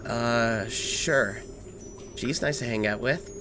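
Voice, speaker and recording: A woman's voice: very deep voice, slow pace. A woman voicing an earnest, good-natured young robot boy hero in a comic dub, boyish and matter-of-fact. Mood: awkward. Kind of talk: cartoonish comic dub acting. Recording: faint noise, ambience behind.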